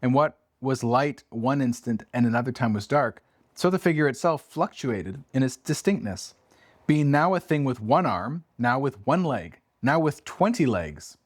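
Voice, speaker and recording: A man's voice: medium pitch, lively intonation, moderate pace, no room sound. Man chatting and reading, close dry sound. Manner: joking asides, playful. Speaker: podcast co-host reading a classic aloud. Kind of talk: casual book chat with dramatic read-aloud passages.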